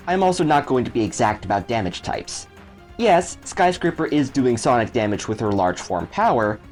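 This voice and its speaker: Animated man narrating a scripted explainer. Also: medium pitch. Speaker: video creator explaining his storytelling method.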